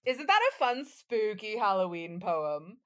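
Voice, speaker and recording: A woman's voice: medium pitch, lively intonation, measured pace, slight background noise. A woman co-hosting a podcast with a playful, theatrical way of talking, whether chatting or reciting. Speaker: podcast co-host. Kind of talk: casual chat, dramatic poem reading.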